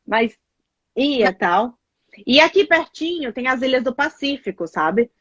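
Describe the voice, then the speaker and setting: A woman's voice: a little high, no room sound, highs cut off. A Brazilian woman with a Brazilian Portuguese accent, chatting casually as a guest, telling stories with lots of fillers. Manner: chatty, animated storytelling with many fillers. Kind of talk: casual chat.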